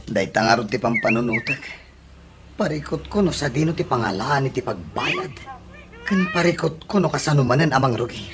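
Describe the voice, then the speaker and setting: An actor playing a worried, defeated husband in an Ilocano radio comedy drama. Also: male voice, medium pitch, big pitch swings, moderate pace, noisy background, lightly acted. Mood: dejected. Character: worried, defeated husband and election candidate, deep in debt. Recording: radio drama with prominent music behind.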